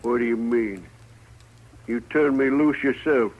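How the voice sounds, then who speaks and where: An American actor playing a cocky, nervy, hot-tempered gunman in a 1950s radio western, with a brash, excitable way of talking. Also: medium pitch, unhurried, narrow, tinny audio, lightly acted. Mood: wary. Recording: noisy old 1950s radio recording.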